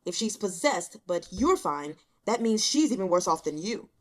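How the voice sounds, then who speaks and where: Lady doing a bossy grumpy tomcat for an audiobook, curt and scornful, lively pitch. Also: moderate pace, lightly acted. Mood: gruff explaining.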